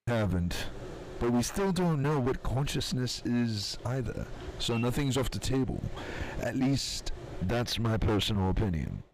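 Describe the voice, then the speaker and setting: Guy acting as a philosophical scientist, audio drama, calm measured explaining, bit of noise behind him. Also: mid-range voice, low-quality recording. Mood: thoughtful. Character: thoughtful male scientist, measured, philosophical, quietly compassionate.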